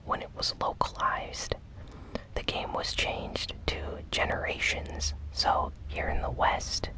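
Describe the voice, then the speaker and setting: Slow whispered ASMR news host. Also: poor audio. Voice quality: breathy, unvoiced whisper. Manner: slow, gentle, deliberate delivery with short phrases. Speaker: host of a gaming news show.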